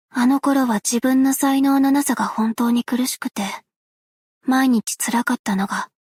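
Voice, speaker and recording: An actress playing a gentle, self-effacing young woman in a video game, soft and whispery, with a hushed, measured, wistful delivery. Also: a little high, husky, no room sound, studio quality. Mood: wistful, subdued. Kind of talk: game character voice lines.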